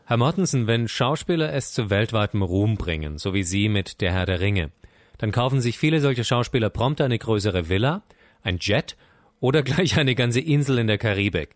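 A man conducting an interview, asking polite, formal, measured questions. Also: fairly low pitch, animated, no echo.

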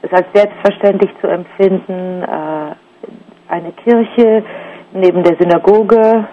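A German woman in her forties answering interview questions thoughtfully, pausing often with fillers and restarts. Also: on the low side, steady tone, highs cut off. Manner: hesitant, many fillers and restarts. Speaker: interview guest, artist.

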